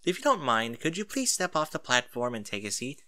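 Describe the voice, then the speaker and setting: A man's voice: medium pitch, sing-song, a little fast, sharp tone. A man voicing a polite, businesslike woman behind a reception counter in a story. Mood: courteous.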